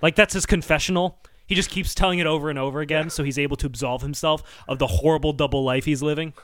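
A man's voice: a little high, steady tone, quick pace, no room sound, studio quality. A man hosting a comedy podcast, chatting casually. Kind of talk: casual chat.

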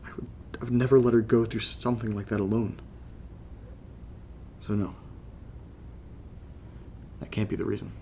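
An American man playing an anxious, brooding young podcaster in a horror audio drama, confiding in a halting, casual, gravelly voice. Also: on the low side, little pitch movement, a little mellow, slight background noise, natural voice. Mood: hurt, pensive.

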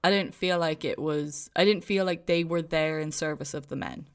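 An Irish woman co-hosting a podcast, chatting casually and thoughtfully as she reviews a film, often trailing off softly. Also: deep voice, fairly even intonation, moderate pace, smooth. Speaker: podcast co-host.

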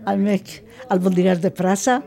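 Woman, 70s, from Turkey, Ladino native, mellow tone, unhurried interviewee. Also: medium pitch, vocal fry.

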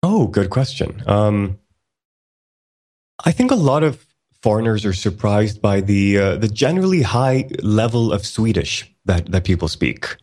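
A man co-hosting a casual podcast, explaining at length in a relaxed way with many ums and restarts. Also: fairly low pitch, unhurried. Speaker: podcast co-host.

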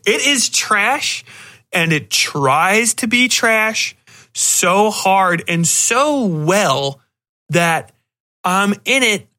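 A man's voice: high-pitched, lively intonation, drawn-out words, pushed and bright. A man hosting a podcast, giving a casual, rambling film review in a blunt, profane, sardonic way with exasperated asides. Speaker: podcast host reviewing horror films. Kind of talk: casual spoken movie review.

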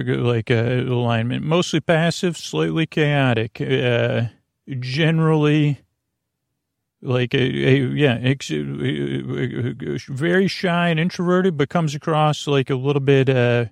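Creaky-voiced man, rambling and soothing. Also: medium pitch, no room sound, studio quality. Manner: meandering, stammering, tangent-prone, self-questioning; soothing, lulling, drowsy delivery. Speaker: podcast host. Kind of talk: rambling bedtime podcast monologue.

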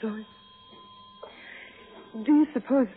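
An actress playing a gentle, fragile grieving woman in a 1960s radio drama, with halting, polite delivery. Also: a little hiss or hum, close to an everyday voice. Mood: subdued, hesitant. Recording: muffled vintage radio recording, faint music.

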